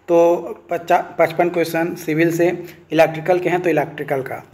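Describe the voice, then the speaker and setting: A man's voice: a little high, a little mellow. A man, an online instructor informally explaining a document, often repeating filler phrases and mixing in English terms. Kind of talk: informal explainer of a recruitment notice.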